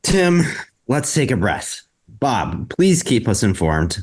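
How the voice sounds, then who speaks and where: A man reading the part of a steady, supportive friend at a table read. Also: medium pitch, takes it slowly, slightly rough, heavily acted. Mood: reassuring. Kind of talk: table read of a scripted story.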